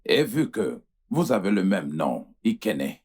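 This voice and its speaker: A man's voice: fairly low pitch, big pitch swings, unhurried, darkish tone, breathy edge, full character voice. A man playing an apologetic fertility doctor, formal, hesitant and placating, in dubbed film dialogue. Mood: grave, hesitant.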